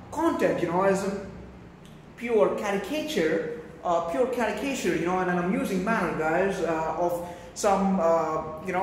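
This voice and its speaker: Young male food vlogger, Indian accent, higher-pitched voice, verbose and grandiose, recorded in a very reverberant room. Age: young adult. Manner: rambling, verbose, grandiloquent, repeatedly addressing viewers. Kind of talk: casual vlog monologue. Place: small bare indoor room. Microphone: phone camera, held or propped at a distance. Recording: very echoey room, distant phone, faint noise.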